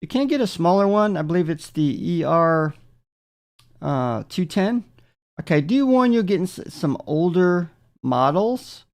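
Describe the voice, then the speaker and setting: A man giving a casual, unscripted product demonstration in a gravelly voice, halting often with filler pauses. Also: fairly high pitch. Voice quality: gravelly, creaky. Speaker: product reviewer.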